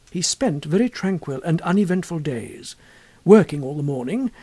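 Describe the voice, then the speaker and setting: Man, audiobook narrator, literary ghost tale, husky tone, animated intonation, measured delivery. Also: on the high side.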